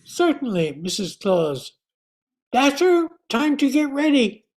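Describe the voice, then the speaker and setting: A woman playing a patient, courteous lead reindeer with a polite, measured manner in an amateur radio play. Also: high voice, big pitch swings, a little mellow, exaggerated voice. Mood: courteous, businesslike.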